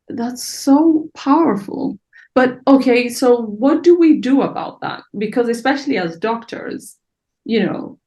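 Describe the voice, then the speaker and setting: A woman in her forties from Somalia, native Somali speaker, hosting an interview over video call and asking eager, animated questions. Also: medium pitch, creaky. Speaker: podcast host. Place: small bright room at home.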